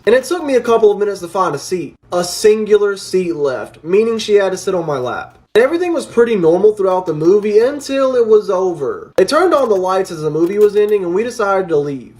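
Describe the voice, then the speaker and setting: A man tells a personal story in a casual social media style. His voice sits high for a man, and he talks quickly. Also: darkish tone.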